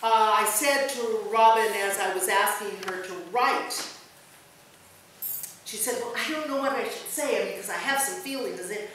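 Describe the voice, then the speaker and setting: A woman's voice: on the high side, moderate pace, fairly bright tone. A woman pastor reading a written memorial tribute aloud at a church service, recorded from a distance. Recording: very echoey church, distant mic, faint noise.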